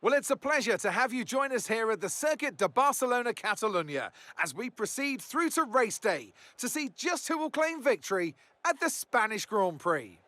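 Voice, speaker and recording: A man's voice: very high voice, very animated, normal speed, few pauses, pushed and bright, no room sound. A man giving polished, upbeat race broadcast commentary in an announcer's manner. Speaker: sports commentator.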